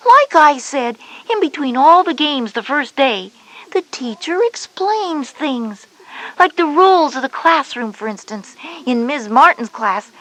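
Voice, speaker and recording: A woman's voice: high voice, fairly bright tone, heavily acted, rough recording. A woman playing a knowing, slightly bossy older-sister schoolgirl, explaining things emphatically in an educational audio story. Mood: explanatory.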